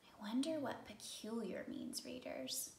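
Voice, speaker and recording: A woman in her thirties, a teacher reading a children's story aloud expressively, measured, with pauses for effect. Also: sits high, vocal fry, a little mellow, slight background noise. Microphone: camera a few feet away. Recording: roomy, somewhat distant microphone.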